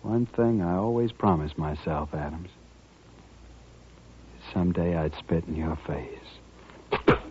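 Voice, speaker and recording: A man acting a grim, bitter avenger in a 1950s radio western, speaking slowly and accusingly. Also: low-pitched, a little mellow, slight background noise. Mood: cold menace. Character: grim, bitter male avenger out to kill a gambler. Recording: muffled vintage 1950s radio recording.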